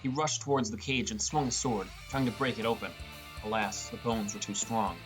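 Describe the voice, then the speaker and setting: A man's voice: lowish voice, steady tone, moderate pace, a bit bright, faint music behind, rough recording. A man reading a scripted first-person story as an audiobook narrator.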